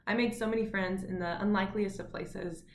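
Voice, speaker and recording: A woman's voice: mid-range voice, steady tone, brisk. A friendly young woman speaks earnestly about her college experience. Her voice rings a little in a roomy space, with faint noise underneath. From Fort Worth, Texas. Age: young adult. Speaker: student. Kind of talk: casual video chat to camera. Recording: echoey room, faint noise.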